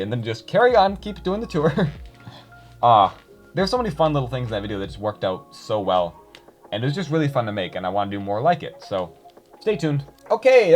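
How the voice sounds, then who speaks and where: A young man chatting casually to his viewers, rambling with many fillers and restarts. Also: mid-range voice. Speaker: YouTuber reading comments.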